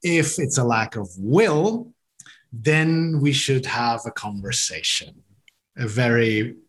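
A man's voice: mid-range voice, animated, slow pace. German-speaking Swiss man explaining. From Switzerland. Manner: explanatory, uses garden metaphors, repeats words. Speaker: podcast guest, leadership coach. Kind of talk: interview conversation.

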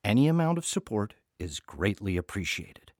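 A man's voice: fairly low pitch, sing-song, moderate pace, darkish tone, dry recording, studio quality. A man hosting a podcast in a chatty scripted welcome, with wry, playful asides. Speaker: artistic director and podcast host.

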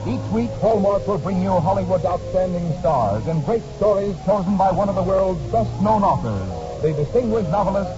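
A man working as announcer, reading scripted 1940s radio introductions and sponsor messages in a polished, measured way. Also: high voice, expressive. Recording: muffled old radio broadcast. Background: music.

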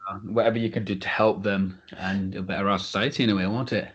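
A man's voice: fairly low pitch, steady tone, fast, breathy edge. A man co-hosting a podcast, earnest and supportive, who stumbles a little over his words. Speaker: podcast co-host. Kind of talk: casual podcast chat. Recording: dull lo-fi sound.

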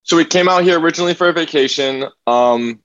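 A man's voice: on the high side, quick pace, fairly bright tone. Rambling young man on a dull video call. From New Jersey, raised in Hawaii. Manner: rambling, many "like" and "um" fillers. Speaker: singer, podcast guest. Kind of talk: casual interview chat.

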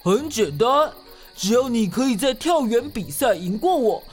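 Woman voicing proud tiger king, sore loser, scornful, blustering, high voice, children's storybook. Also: animated, unhurried, faint music behind. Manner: blustering, haughty.

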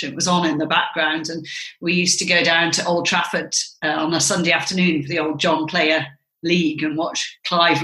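A middle-aged woman from England talks as a podcast guest, her voice bright and forward. She tells her stories in a measured, reflective way, sprinkling in fillers. The recording carries a noticeable roomy echo. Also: low-pitched. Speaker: interview guest, mother of cricketers. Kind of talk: conversational podcast interview. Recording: roomy echoey sound.

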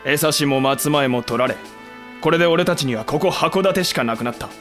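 A man acting as a fierce, blunt samurai general in a period audio drama, speaking roughly and commandingly. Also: fairly high pitch, moderate pace, fairly bright tone, soft background music, natural voice. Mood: serious, probing.

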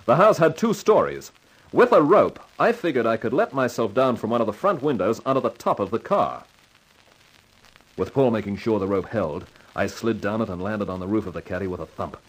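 An actor playing a cool, wisecracking hardboiled man in 1950s radio drama style, with a wry, unhurried delivery. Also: lowish voice, expressive, slightly rough. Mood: tense narration. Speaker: lead actor and narrator in a crime radio drama. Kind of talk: 1950s radio acting, hardboiled first-person narration. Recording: noisy vintage 1950s radio recording.

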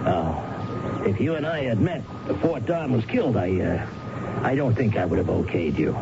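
Smug radio crook, muffled broadcast. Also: male voice, fairly low pitch, sing-song, moderate pace, vocal fry, background noise. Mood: mocking amusement. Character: smug, condescending male crook, coolly menacing. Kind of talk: radio drama acting. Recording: dull vintage radio broadcast with music.

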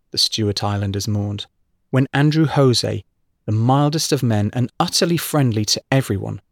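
A man reading a true-crime feature article in measured scripted narration. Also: on the low side, vocal fry, dry recording, clean studio sound.

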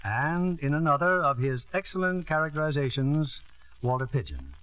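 Bright, polished male radio theatre host. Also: on the high side, sing-song, moderate pace, low-quality recording. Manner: polished, formal presentation. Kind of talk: scripted 1950s radio introduction.

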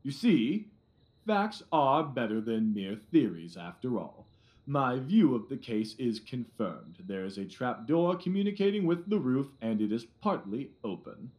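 A man's voice: mid-range voice, sing-song, a little slow, darkish tone, rough recording. A man playing a pompous, blustering Victorian police inspector in an audio drama, smug, officious and self-satisfied.

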